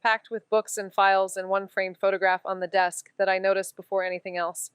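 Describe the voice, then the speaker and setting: A woman's voice: mid-range voice, little pitch movement, fairly quick, clear, resonant tone, no echo, close to an everyday voice. A woman playing an earnest amateur investigator in an audio drama, with a casual, thinking-aloud way of talking. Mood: reflective narration. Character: Amy, eager young woman amateur investigator who narrates. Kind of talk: audio drama dialogue and first-person narration.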